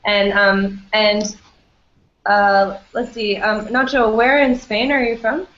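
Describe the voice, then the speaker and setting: A woman's voice: medium pitch, flat delivery, slow, a bit bright. A woman teaching an English class online, friendly and full of ums and you-knows. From United States. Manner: friendly, many fillers like um and you know. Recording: echoey, dull lo-fi audio.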